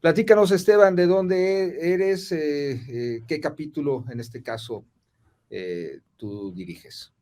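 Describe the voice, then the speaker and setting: A man hosting a podcast conversation, asking questions with many filler pauses. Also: on the high side, warm tone. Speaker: podcast host. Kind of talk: casual conversational interview.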